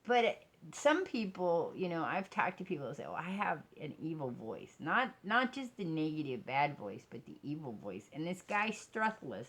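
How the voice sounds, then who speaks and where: A woman's voice: low voice, very animated, normal speed, few pauses, breathy edge. A woman vlogger talking casually and candidly, in an informal, rambling way that drifts from one thought to the next. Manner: rambling, digressive, often trailing off and changing track. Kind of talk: casual rambling personal vlog talk.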